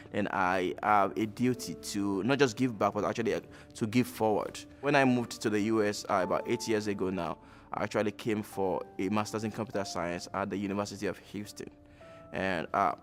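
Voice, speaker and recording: Nigerian man, 30s, lowish voice, thoughtful interview subject with pauses, faint music behind. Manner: reflective, with frequent "uh" pauses. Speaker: alumnus interviewee. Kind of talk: documentary interview.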